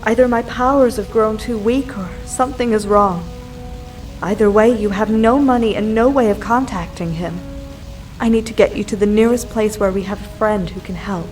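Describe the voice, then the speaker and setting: A woman's voice: medium pitch, moderate pace, darkish tone, soft background music, close to an everyday voice. A woman playing a weary, godlike superheroine in an audio drama, grave, resolute and dramatic. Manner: solemn, dramatic.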